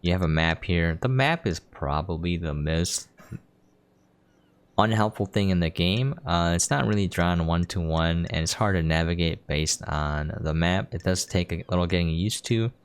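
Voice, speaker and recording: A man's voice: low voice, normal speed, clear, resonant tone. A man casually explaining a game as he plays, in an offhand, explanatory way. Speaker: game preview host. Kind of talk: casual gameplay commentary.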